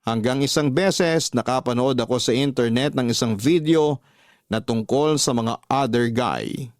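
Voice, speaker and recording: A man acting as a lovelorn storyteller in a Filipino-style radio drama confession. He reflects on his heartbreaks in a mid-pitched voice. The sound is dry and close. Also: a little slow, dry recording, natural voice. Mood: regretful, reflective. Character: heartbroken, self-reflective man recounting his secret affairs. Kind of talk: dramatized first-person scripted radio narration.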